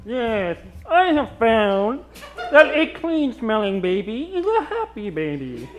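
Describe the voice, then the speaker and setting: A self-assured, solemn scientist giving an endorsement in a radio spoof, played by a performer. The pitch moves around a great deal. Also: sing-song, takes it slowly, crowd noise, rough recording. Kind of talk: parody old-time radio endorsement.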